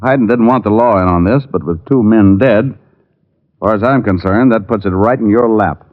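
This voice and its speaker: Man playing a wry low-voiced radio private eye. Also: normal speed, close to an everyday voice. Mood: dry, matter-of-fact. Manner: wry, laconic. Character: wry hard-boiled male private detective. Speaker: actor in radio detective drama. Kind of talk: 1940s-50s radio noir acting and narration. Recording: muffled vintage radio recording, organ music.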